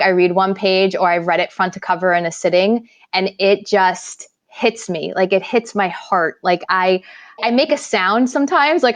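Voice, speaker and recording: A woman co-hosting a podcast interview in an effusive, enthusiastic, conversational manner. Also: lowish voice. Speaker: podcast co-host. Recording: slightly dull, lo-fi voice track.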